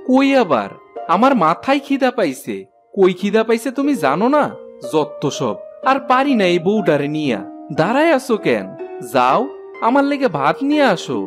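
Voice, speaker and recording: A woman's voice: medium pitch, big pitch swings, normal speed, soft background music, natural voice. A woman playing an exasperated village farmer husband in a cartoon, sarcastic and complaining, with a rural Bangladeshi accent. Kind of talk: cartoon voice acting.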